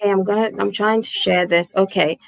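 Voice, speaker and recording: A woman from Gambia, a Wolof speaker, chats through her live stream with a slightly gravelly, creaky voice. The sound is dry and close. She talks freely, repeats herself and keeps saying "basically". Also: mid-range voice, steady tone, fairly quick, dry recording. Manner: chatty, repeats words, says "basically" often. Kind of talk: casual live-stream chat.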